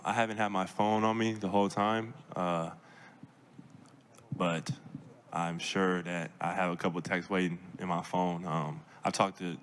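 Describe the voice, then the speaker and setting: A young man from Seattle answering reporters' questions at a press conference, measured, with many fillers and restarts. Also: sits low, a bit bright, slight background noise. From Seattle, Washington. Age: young adult. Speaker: draft pick at press conference.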